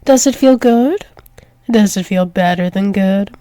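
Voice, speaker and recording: A woman's voice: mid-range voice, warm tone, no room sound, studio quality, natural voice. A woman playing a dominant, doting female werewolf demon lover in an intimate ASMR roleplay, teasing and coaxing. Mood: tender, dominant. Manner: teasing, coaxing, slow repeated praise, often dropping to whispers.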